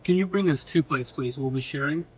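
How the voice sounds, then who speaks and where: A man's voice: fairly high pitch, brisk, darkish tone, muffled recording, a little hiss or hum, natural voice. An actor playing a reserved, brooding male doctor who speaks tersely but politely in an audio romance drama.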